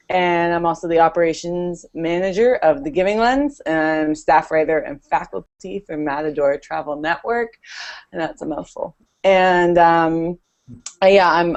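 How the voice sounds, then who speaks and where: A woman from Canada introducing herself in a joking, quick, casual way. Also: on the low side, a little mellow. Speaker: chapter lead guest.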